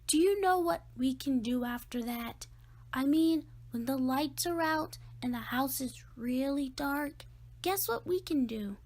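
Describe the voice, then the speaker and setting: A woman's voice: high voice, lively intonation, unhurried, darkish tone. A woman voicing an eager, boastful young boy in a children's storybook, speaking emphatically as if bursting with plans. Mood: conspiratorial. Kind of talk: children's storybook read-aloud.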